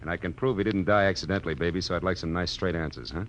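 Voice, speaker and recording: Deep-voiced hard-boiled private eye. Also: male voice, racing, a little hiss or hum. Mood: pressing. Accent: American. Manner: terse, sardonic, tough-talking. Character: hard-boiled wisecracking male private detective. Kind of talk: 1940s radio crime drama acting and narration. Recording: muffled old radio recording, loud music.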